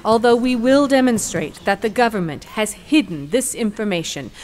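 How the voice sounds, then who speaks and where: A woman's voice: a little high, animated, normal speed, lightly acted. A woman acting as a poised, combative attorney in a courtroom audio drama, speaking formally and eloquently with a pointed edge. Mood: combative, indignant.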